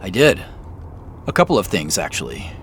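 An actor playing an inquisitive, slightly sheepish amateur sleuth in a naturalistic audio drama, talking in an easygoing, conversational way. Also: male voice, deep voice, wildly swooping pitch, normal speed, exaggerated voice. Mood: guarded, thoughtful. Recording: faint vehicle noise behind.

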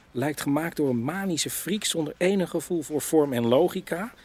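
An actor playing a disgruntled radio staffer in a comedy, dry and matter-of-fact. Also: male voice, on the high side, slight background noise, natural voice. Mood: reading glumly.

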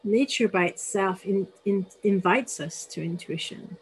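A woman hosts a conversational interview. Her voice is husky rather than clean, set at a middle pitch, as she asks questions and adds her own reflections. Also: a little slow, low-quality recording. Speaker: interviewer, host.

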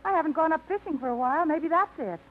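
A woman's voice: sits high, animated, quick pace. An actress playing a wry, independent young woman tired of routine, with a dry wit, in a 1950s radio drama. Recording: tinny old radio broadcast.

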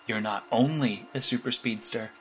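A man's voice: medium pitch, normal speed, fairly bright tone, faint music behind, natural voice, low-quality recording. A man playing a blunt, secretive vigilante strategist in an audio drama, clipped and matter-of-fact. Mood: measured.

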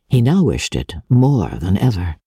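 A woman narrating a classic novel as a dramatic audiobook, with measured and expressive storytelling. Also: a bass voice, breathy edge, dry recording, clean studio sound.

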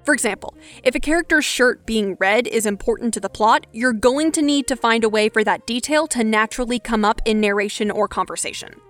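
A young woman hosting a podcast, giving clear, instructive scripted narration and asking interview questions. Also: on the high side, brisk, few pauses, fairly bright tone, soft background music. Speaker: podcast host.

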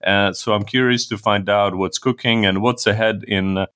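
A man hosting a podcast interview, speaking with hesitant pauses and fillers. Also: on the low side, fairly even intonation, fairly bright tone. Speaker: podcast host.

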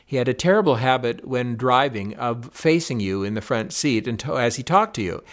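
A man's voice: mid-range voice, animated, normal speed. A man who worked as a broadcast commentator, telling wry, dry anecdotes in an interview with some hesitations. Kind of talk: reminiscing interview.

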